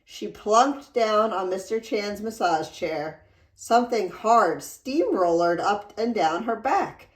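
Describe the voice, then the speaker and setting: Slow-paced woman reading a storybook aloud. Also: medium pitch, lively intonation. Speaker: read-aloud narrator. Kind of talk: expressive storybook read-aloud. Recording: roomy echo.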